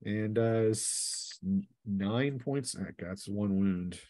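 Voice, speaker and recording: A man's voice: lowish voice, slow, warm tone, dry recording. A man acting as game master, casually narrating a tabletop game in a playful way, with spoken sound effects.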